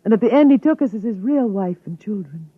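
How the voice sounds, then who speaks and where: A woman acting in a 1950s radio drama as a serene, wistful artificial wife, measured and breathy, on a thin old recording. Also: medium pitch, animated, no room sound, phone-quality sound. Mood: wistful, composed.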